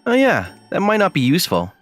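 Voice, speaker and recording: Young male student for an anime dub, played by a man: guarded, self-deprecating, dry and puzzled, with lively swings in pitch over a music bed. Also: quick pace, heavily acted. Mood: dry, bemused. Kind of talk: anime-style dub with first-person narration and inner monologue. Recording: music behind the voice.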